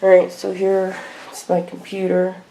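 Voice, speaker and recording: Creaky-voiced woman in a noisy home video. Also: medium pitch, completely flat delivery, mellow. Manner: unscripted, halting delivery. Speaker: weather radio hobbyist vlogger. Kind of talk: casual show-and-tell narration. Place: indoor room at home. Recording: noisy homemade video with clicks.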